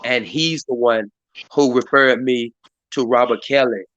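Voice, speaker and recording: A hoarse man hosts a community live stream in a rambling way, full of fillers and restarts. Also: mid-range voice, steady tone, a little slow, fairly bright tone, no room sound, dull audio. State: hoarse, voice partly lost. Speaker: community activist hosting a live stream meeting. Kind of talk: casual hosted talk. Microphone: live stream mic.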